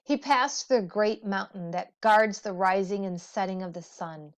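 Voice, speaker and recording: A woman reading a storybook aloud as a teacher would, expressively and deliberately, with dramatic pauses. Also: mid-range voice. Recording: dull, muffled lo-fi audio.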